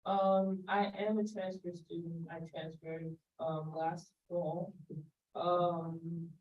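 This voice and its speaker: Young female student, lowish voice, tentative, off-mic and dull. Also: flat delivery, slow, recorded from a distance. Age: young adult. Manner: soft-spoken, hesitant, many ums. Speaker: student senate candidate.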